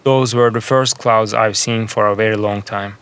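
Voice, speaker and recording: A man narrating a scripted fantasy story in the first person, with measured, deliberate phrasing in short segments. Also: fairly low pitch, fairly even intonation, a bit bright, clean tone.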